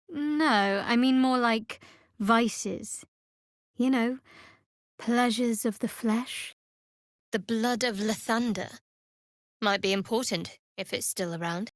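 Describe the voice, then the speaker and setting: An actress voicing a guarded, wry female cleric of a dark goddess in a fantasy video game, with a cool, measured, sardonic delivery. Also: high voice, no echo, studio quality.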